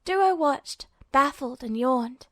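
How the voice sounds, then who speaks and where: A woman's voice: sits high, animated, slow, dry recording, studio quality. A woman reading scripted fiction as the narrator of an amateur audiobook. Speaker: podfic narrator.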